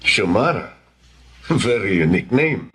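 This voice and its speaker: Flirtatious congressman, lively intonation. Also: lowish voice, measured pace, husky, full character voice. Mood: suave. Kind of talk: Tagalog radio drama acting.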